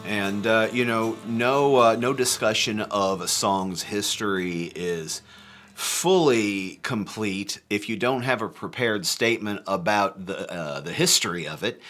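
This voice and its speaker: Joking band guy, lowish voice with a bright, tense edge, fun storyteller. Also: unhurried, soft background music. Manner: playful, jokey, animated storytelling. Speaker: band member discussing a song. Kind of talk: casual conversational chat.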